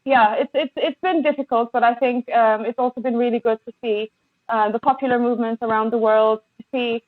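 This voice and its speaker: A woman guest on a podcast, speaking with passion and many halting restarts over a remote call. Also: on the high side, fairly even intonation, no room sound. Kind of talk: impassioned conversation. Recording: tinny phone-like line, dry.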